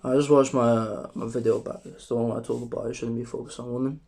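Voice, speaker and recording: A young man with a sore, strained throat, talking casually to camera in a rambling, profane monologue while trying to keep his voice deeper. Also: vocal fry. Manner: rambling, profane, relaxed and deliberately deeper delivery. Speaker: vlogger.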